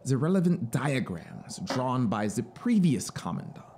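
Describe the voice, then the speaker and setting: A man voicing a zealous, self-satisfied young penal colony officer in a storybook reading, with an emphatic, deliberate, proudly explanatory manner. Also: mid-range voice, very animated, noisy background.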